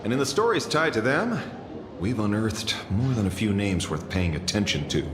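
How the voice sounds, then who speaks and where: A man's voice: fairly low pitch, sing-song, moderate pace, noisy background. An actor playing a self-assured male detective who talks in a dry, matter-of-fact way, in a game scene. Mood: confident. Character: self-assured adult male detective, tough-guy type. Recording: game audio with loud music, echoey.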